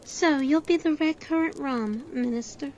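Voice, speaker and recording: A woman's voice: sits high, normal speed, a little mellow, lightly acted. A woman playing a chatty, friendly barmaid who runs a pub, in an audio drama. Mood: welcoming. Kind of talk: amateur audio drama acting. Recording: dull lo-fi sound, faint noise.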